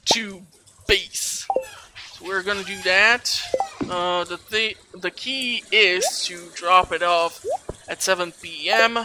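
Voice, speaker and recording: Through heavy noise and clicks comes a slow-talking gaming host with a mid-range voice. The host rambles along casually, thinking aloud while playing through a game. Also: mid-range voice. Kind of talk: casual game walkthrough commentary. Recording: clearly noisy recording with clicks.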